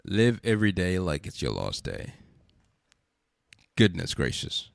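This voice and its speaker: A man's voice: deep voice, big pitch swings, measured pace, gravelly, no room sound, studio quality. A man hosting a podcast with a congested, stuffy voice, speaking earnestly and repeating rhetorical questions. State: congested from a cold. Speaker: podcast host. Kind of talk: reflective spoken monologue.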